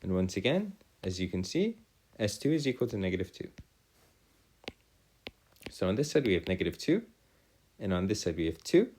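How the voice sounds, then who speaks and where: A man with a fairly deep voice tutors through a worked problem. His intonation rises and falls widely from phrase to phrase. He speaks in formal, elaborate sentences and often stops to correct himself. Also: sing-song, moderate pace, pauses often, darkish tone. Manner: formal, wordy phrasing with frequent self-correction. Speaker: math tutor. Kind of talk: video lesson working through calculus problems aloud.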